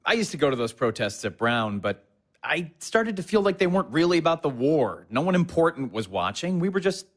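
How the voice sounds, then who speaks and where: An actor in an audio play as an earnest, mild young husband who speaks gently and a little hesitantly. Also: male voice, fairly high pitch, very animated, brisk.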